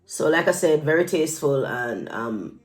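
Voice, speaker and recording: A woman's voice: low-pitched, expressive, normal speed, soft background music. A woman from Jamaica giving casual political commentary, conversational and repeating phrases for emphasis.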